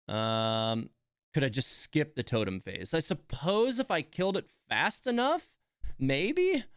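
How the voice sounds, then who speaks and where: A man doing casual live gameplay commentary, rambling and thinking out loud. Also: medium pitch, smooth, dry recording, clean studio sound. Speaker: live streamer.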